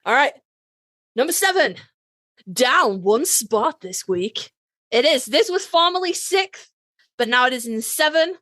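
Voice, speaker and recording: A woman with a Yorkshire accent and a fairly high voice that swings widely in pitch. She talks like an opinionated podcast co-host, blunt and sweary, leaning hard on words for drama. The sound is dry and close. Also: lively intonation, unhurried, pauses often, bright, forceful tone, no room sound. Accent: Yorkshire English. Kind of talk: casual opinionated fan chat, ranked countdown.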